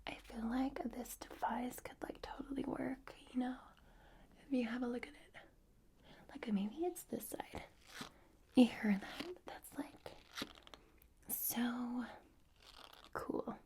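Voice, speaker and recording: A woman's voice: fairly high pitch, darkish tone. A woman playing a ditzy wrinkle-obsessed girl. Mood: airy. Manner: whispering, filler-heavy "like" talk, quick bursts. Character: ditzy young woman fussing over wrinkles. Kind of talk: ASMR role-play.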